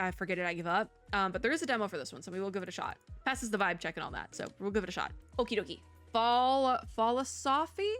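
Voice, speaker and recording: A young woman streamer rambles cheerfully about games, tripping over restarts and laughing at herself. Her throat is sore and her voice is starting to go. Faint music plays quietly beneath her. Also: medium pitch. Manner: chatty, self-deprecating, restarts and trails off. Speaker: VTuber reviewing game showcase. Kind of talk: casual commentary.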